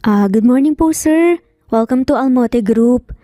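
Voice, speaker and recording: A woman's voice: on the high side, a little slow, warm tone, no echo, clean studio sound, soft background music, natural voice. A Filipino woman voicing a shy, polite young female secretary in a romance story, hesitant and guarded. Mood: polite, nervous.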